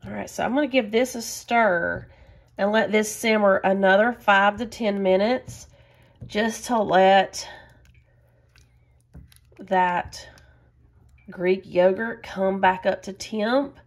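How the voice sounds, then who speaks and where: A woman's voice: medium pitch, steady tone, slow, pauses often. A woman, chatting casually as she cooks in her home kitchen. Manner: chatty, enthusiastic, says y'all often. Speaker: cooking vlogger. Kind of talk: casual cook-along chat.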